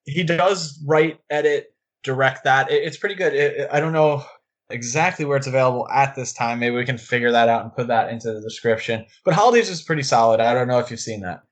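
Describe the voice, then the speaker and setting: Male podcast co-host, mid-pitched, chatty and enthusiastic film talk with rambling asides. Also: fairly quick. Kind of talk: casual film discussion.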